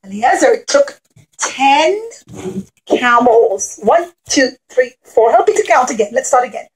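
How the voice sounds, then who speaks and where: A woman's voice: high-pitched, expressive, a little slow, husky, rough recording. A woman telling an interactive puppet-show story to children in simple, emphatic, encouraging phrases, as their teacher.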